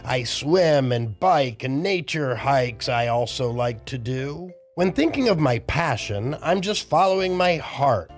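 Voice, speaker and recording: A man's voice: medium pitch, sing-song, unhurried, few pauses, soft background music. A man reading the part of a chatty, confident little girl in a storybook, earnest and enthusiastic in sing-song rhyme.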